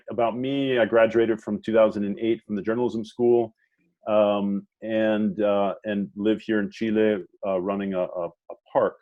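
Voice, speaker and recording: A man with a lowish voice hosts an online conversation, feeling his way through each sentence with plenty of ums and restarts. His audio comes through dull and lo-fi, like a video call. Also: a little mellow. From Washington, D.C. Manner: halting, many fillers and restarts. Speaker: moderator. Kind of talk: hesitant conversational introduction.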